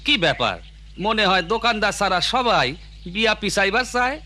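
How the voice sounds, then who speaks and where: Bangladeshi village dad, cartoon, bright voice, lots of swing, faint noise behind. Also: male voice, high voice, lively intonation, fairly quick, heavily acted. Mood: concerned. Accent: rural Bangladeshi. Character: agreeable middle-aged village father of a bride-to-be. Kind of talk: cartoon voice acting.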